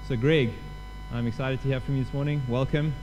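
A man's voice: medium pitch, fairly even intonation, a little fast, noisy background. A man with a South African accent welcoming a congregation and introducing a guest preacher in a chatty, self-deprecating way. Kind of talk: casual church welcome.

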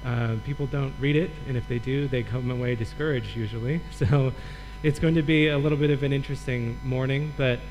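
A man from Michigan preaches as a guest, explaining scripture in a relaxed, lightly joking manner. His pitch is middling, and a mains hum runs under the recording with faint music. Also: a little fast, hardly any pauses, low-quality recording. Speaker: guest preacher, Old Testament professor. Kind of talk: sermon teaching.

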